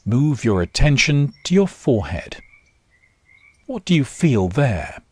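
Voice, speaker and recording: Guy leading a body scan, really slow with long gaps, dry close sound. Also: mid-range voice, very animated, darkish tone, slightly rough, no room sound. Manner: slow, measured instruction with short phrases and long pauses. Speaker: meditation guide. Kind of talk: guided body scan meditation. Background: nature sounds.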